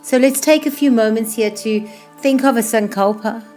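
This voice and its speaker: Soothing woman guiding meditation over music. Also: fairly high pitch. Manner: soothing, unhurried, phrases trailing off softly. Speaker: yoga nidra instructor. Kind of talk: guided meditation, slow calm instruction. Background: music playing behind the voice.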